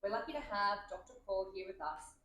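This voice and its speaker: A woman's voice: mid-range voice, expressive, a little fast, bright, forceful tone, slight background noise. A woman host giving an upbeat introduction to a speaker in front of an audience. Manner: upbeat, light laughs. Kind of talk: introduction speech. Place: hall with an audience. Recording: echoey room from far off.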